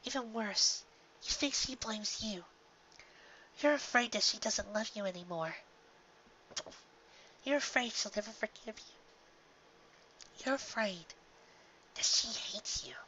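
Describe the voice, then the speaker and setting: Woman acting a gentle grieving young mare, lo-fi recording. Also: medium pitch, takes it slowly, pauses often. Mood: gently pleading. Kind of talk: fan-fiction audio drama acting. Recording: dull lo-fi home recording, clear background noise.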